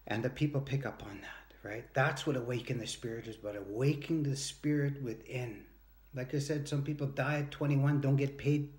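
A man in his early fifties giving an earnest, emphatic motivational talk, telling anecdotes straight to the camera. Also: medium pitch. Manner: earnest, emphatic, anecdotal, acts out short quoted exchanges. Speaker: motivational speaker and workshop leader. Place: indoors, home room with sloped ceiling. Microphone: phone or device at arm's length.

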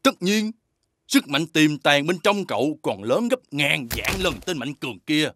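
Sly, swooping cartoon gecko. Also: male voice, a little high, expressive, unhurried, background noise. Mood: coaxing, encouraging. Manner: insinuating, coaxing, with theatrical emphasis. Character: sly talking gecko, male, scheming, goading martial mentor. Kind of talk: animated fairy tale voice acting.

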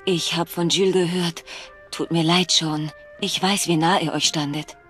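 A woman's voice: fairly low pitch, fairly even intonation, normal speed, fairly bright tone, lightly acted. A woman voicing a seductive, self-assured secret agent, flirtatious and knowing, in a German video game. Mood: casual, sympathetic. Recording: music behind the voice.

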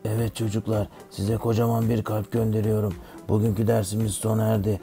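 A man voicing a kindly older music teacher in a cartoon, giving a scripted lesson to children in a gravelly voice. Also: on the low side, little pitch movement, brisk, dark tone, natural voice. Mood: kindly, instructive.

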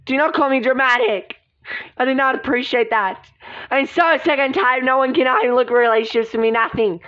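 A woman's voice: sits high, brisk, lightly acted. A woman voicing a hot-tempered, lovelorn young woman, aggrieved and dramatic, in amateur soap-opera role-play. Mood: indignant. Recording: dull lo-fi recording.

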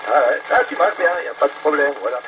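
A man's voice: on the high side, lively intonation, fast talker. A man bantering casually over FM radio, informal and rambling in a joking way. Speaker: amateur radio operator. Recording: muffled, very noisy radio transmission.

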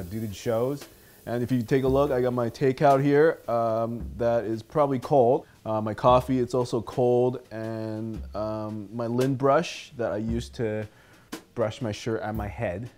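A man from Taiwan, a native Mandarin speaker, talking in a relaxed, reflective way in a documentary interview in a small hotel room. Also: mid-range voice. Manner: relaxed, reflective, soft-spoken. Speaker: comedian. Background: music.

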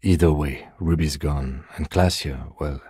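An actor playing a composed, weary police detective in a video game, with dry, deadpan, measured delivery. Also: male voice, extremely low pitch, slightly rough, no room sound, exaggerated voice. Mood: weary, matter-of-fact.

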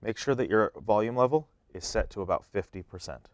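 A man's voice: fairly low pitch, fairly quick, no room sound. A man in his thirties giving plain, step-by-step how-to instructions as an equipment demonstrator.

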